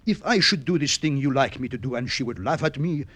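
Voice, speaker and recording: An actor playing an ardent, preening young French suitor with a French accent, theatrical and flowery in a radio drama. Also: male voice, medium pitch, fairly quick, fairly bright tone, no echo, close to an everyday voice. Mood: lovesick, pleading. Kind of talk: 1950s radio drama acting.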